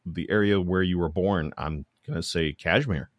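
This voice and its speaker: An American man hosting a casual comedy interview, feeling his way haltingly through rambling questions. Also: sits low, no room sound, clean studio sound. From United States. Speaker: show host, interviewer.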